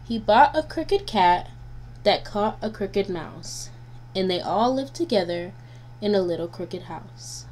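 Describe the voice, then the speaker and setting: Slow-reading woman with noisy hum behind. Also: mid-range voice, expressive, darkish tone. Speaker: guest storybook reader. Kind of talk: nursery rhymes read aloud for children. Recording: noisy home recording with hum.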